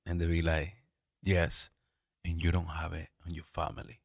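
A man's voice: sits low, monotone, normal speed, creaky, dry recording, clean studio sound. A man speaking playfully as a podcast guest, acting out his talks with clients. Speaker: insurance agency CEO. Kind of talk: podcast interview.

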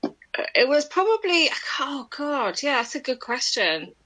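A woman's voice: high-pitched, very animated, unhurried, sharp tone, rough recording. A woman co-hosting an online show, chatting casually with the others. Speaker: podcast co-host. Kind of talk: casual chat.

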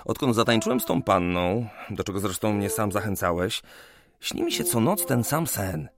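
Lovesick young surveyor for period radio play male actor: animated, creaky, earnest and wistful. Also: lowish voice, moderate pace, music in the background. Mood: troubled, absent-minded. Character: lovesick, distracted young male geometer in the 1820s. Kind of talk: Polish radio play acting.

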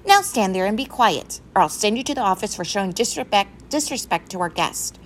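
A woman's voice: fairly high pitch, very animated, normal speed, vocal fry, a little hiss or hum, pitched above the performer's own voice. A woman voicing a stern, principled female music teacher in a storybook, speaking in a firm, instructive way.

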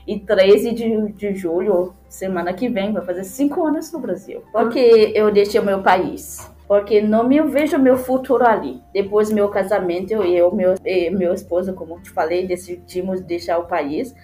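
A woman's voice: medium pitch, mellow. Haitian woman with a non-native accent, music behind. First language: not Portuguese, learned it as an adult in Brazil. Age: 30s. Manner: halting non-native phrasing with self-corrections. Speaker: podcast guest telling her life story. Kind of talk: personal storytelling in an interview. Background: background music.

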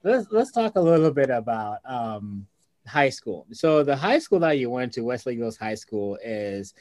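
A man's voice: mid-range voice, very animated, unhurried, a little mellow, dry recording. A man interviewing a guest, steering her with leading questions and laughing asides. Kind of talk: conversational interview.

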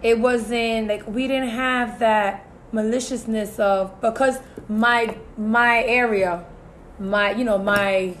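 A woman's voice: on the high side, fairly even intonation, slow pace, a little hiss or hum. A Puerto Rican-American woman co-hosting a casual discussion, talkative, full of "you know" and "so it's like". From Puerto Rican family, US-raised. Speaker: podcast co-host.

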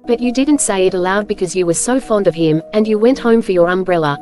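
A woman's voice: mid-range voice, quick pace, a little mellow. A woman reading scripted narration for a children's storybook. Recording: clear background music.

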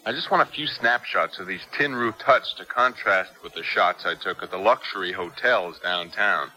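An actor playing a bold, indignant young graduate student traveler in a 1970s radio drama. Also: male voice, low voice, normal speed, bright, forceful tone, rough recording. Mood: dry, casual. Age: young adult.

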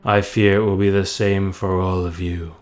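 A man's voice: sits low, little pitch movement, normal speed, lightly acted. A man voicing a captive prince who speaks formally and fatalistically in a fantasy audio story. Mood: resigned despair. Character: despairing young male prince, resigned to enchanted captivity.

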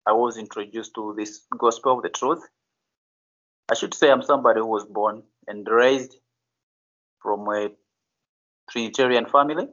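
A Malawian pastor answering interview questions slowly and hesitantly, with many fillers and restarts. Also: male voice, medium pitch, a bit bright, highs cut off.

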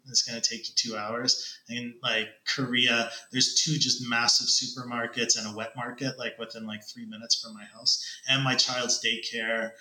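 A man's voice: medium pitch, sharp tone. A man with a Canadian accent telling stories casually as a podcast guest. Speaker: podcast guest, ed tech consultant. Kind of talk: casual conversational storytelling. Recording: roomy, echoing sound.

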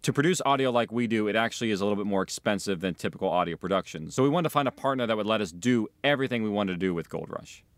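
A man with a lowish voice explains his work as a director. He speaks in an earnest, conversational interview style. The recording is dry and close. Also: lively intonation, racing, few pauses, no echo. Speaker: audio drama creator and director.

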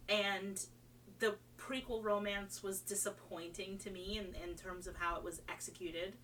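A woman's voice: medium pitch, fairly even intonation, normal speed, a bit bright. A woman from Trinidad who moved to America as a child, chatting casually as a guest in an enthusiastic, rambling way that often restarts mid-thought. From Trinidad, moved to America at age five. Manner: rambling, enthusiastic, self-interrupting. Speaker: podcast guest. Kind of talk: casual chat about fandom. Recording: distant mic, faint noise and hum.

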